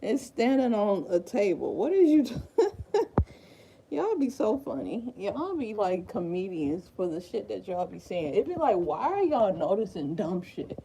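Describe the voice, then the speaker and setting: A woman's voice: mid-range voice, very animated, unhurried, creaky, noisy background. A woman from Detroit with a Detroit accent, chatting on a live stream, laughing often and reacting to her viewers' comments. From Detroit, Michigan. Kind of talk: casual live-stream chat. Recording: roomy echo.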